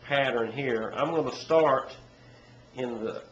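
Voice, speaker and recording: A man with a head cold and a stuffy nose, teaching banjo in a relaxed, rambling way with many pauses. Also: mid-range voice, slight background noise. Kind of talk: casual instructional talk. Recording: echoey room with dull, lo-fi sound. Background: loud banjo playing.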